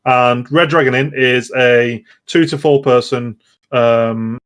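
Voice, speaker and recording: A man chats casually as a podcast host about games, at a middling pitch. He rambles and hesitates, often repeating words as he finds his way through a sentence. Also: fairly even intonation, takes it slowly, a bit bright, clear, resonant tone. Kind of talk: casual gaming podcast chat.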